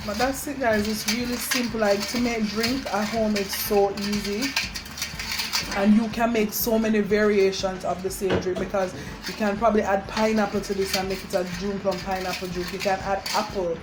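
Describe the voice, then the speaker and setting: A woman's voice: mid-range voice, flat delivery, moderate pace, noisy background. A woman with a Jamaican accent chatting informally to viewers as a vlog host, slipping into Patois as she demonstrates homemade drinks. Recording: music over the talk. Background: music.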